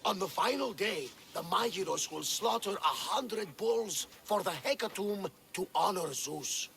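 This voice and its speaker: An actor playing an excitable, devout older Greek man with a gravelly voice and a theatrical, reverent manner in a video game. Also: sits high, measured pace, heavily acted. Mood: awed, eager. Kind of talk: video game dialogue acting.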